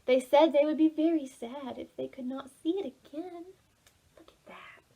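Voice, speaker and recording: A woman reading a children's storybook aloud for preschoolers, dramatic and expressive, involving the young listeners. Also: high voice, moderate pace. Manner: animated, dramatic, asks listeners questions. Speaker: children's library storytime reader.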